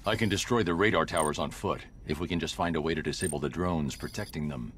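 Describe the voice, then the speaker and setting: An actor with an American accent playing a grim, commanding masked vigilante in a video game, stern and terse. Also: male voice, a bass voice, quick pace, a bit bright, a little hiss or hum. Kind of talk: video game dramatic acting.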